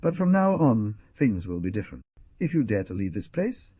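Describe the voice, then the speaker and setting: A man's voice: on the low side, very animated, normal speed, breathy edge, no room sound. A man voicing a proud young man who speaks in a stern, commanding way, in a dull lo-fi recording. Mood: cold threatening. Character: cold, proud young man, grimly resolved.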